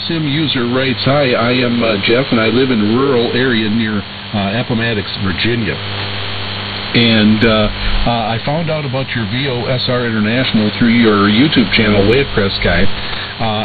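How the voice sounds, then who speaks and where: A man hosting a hobby radio show, reading listener mail in a halting way with very frequent filled pauses, heard over shortwave radio. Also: mid-range voice. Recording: hissy, humming narrow-band shortwave radio broadcast.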